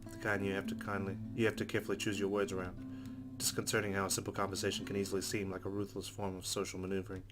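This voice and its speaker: A man with a fairly low voice reads story narration aloud as a let's play host. Guitar music plays clearly behind him as he reads from a visual novel. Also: monotone, rapid-fire.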